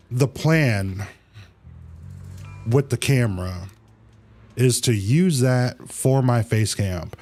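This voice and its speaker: A man hosts a casual livestream in a middling-pitched voice. He takes his time, rambling and restarting in easygoing slang. Soft music plays behind him as he talks to his viewers. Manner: halting, slangy, easygoing rambling with repeated phrases. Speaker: live streamer, host of own channel.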